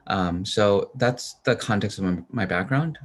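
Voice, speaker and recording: A man, a venture investor, speaking haltingly with many hesitations over a video call. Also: on the low side.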